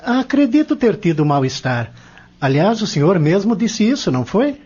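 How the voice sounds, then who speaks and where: A man's voice: high voice, very animated, moderate pace, a little mellow, breathy edge, highs cut off, full character voice. An actor playing an earnest, curious young man in an audio drama, polite and questioning.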